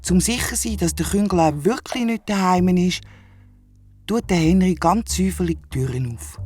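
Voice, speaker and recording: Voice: medium pitch, moderate pace, darkish tone, dry recording, soft background music. A storyteller with a Swiss German accent, narrating a scripted children's audio story.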